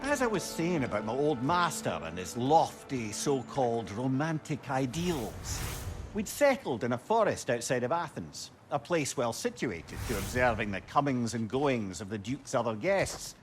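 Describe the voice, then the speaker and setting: A man's voice: medium pitch, very animated, moderate pace, soft background music, natural voice. A man playing a genial, witty old former trickster in a video game, with a Scottish accent and a wry, talkative, old-fashioned way of speaking. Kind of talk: video game dialogue, storytelling banter.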